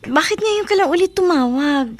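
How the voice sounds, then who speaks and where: A woman's voice: high-pitched, animated, normal speed, faint music behind. An actress playing a young pregnant woman who talks in an earnest, pleading and respectful way. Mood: hurt. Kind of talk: radio drama acting.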